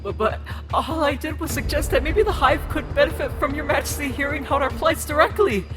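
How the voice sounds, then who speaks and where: A man's voice: very high voice, fairly quick, pitched above the performer's own voice. A man voicing a frightened young male changeling on trial, stammering and pleading desperately in an audio story. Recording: loud music bed underneath.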